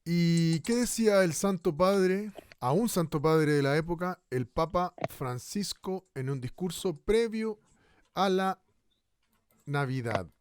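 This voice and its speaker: A man's voice: on the high side, lively intonation, slow, clean tone, dry recording. A man playing a probing radio presenter who poses rhetorical questions in a scripted style. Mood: inquisitive. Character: probing male radio presenter looking back at the past. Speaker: radio host.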